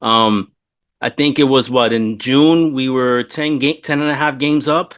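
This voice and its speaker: A man's voice: mid-range voice, steady tone, measured pace, fairly bright tone. A man of forty-nine chatting informally as the host of a sports interview podcast, with slangy, laid-back phrasing. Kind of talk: casual sports interview chat.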